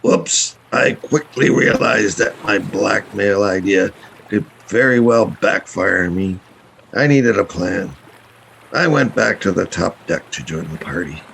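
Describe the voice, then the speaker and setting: Radio play captain, male actor: gravelly schemer, mock-polite, with swooping, insinuating delivery. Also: on the low side, lively intonation, a little slow, a bit bright, slight background noise, full character voice, poor audio. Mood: scheming, confiding. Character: sly, scheming male boat captain, blackmailer. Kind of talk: radio drama acting with confiding narration.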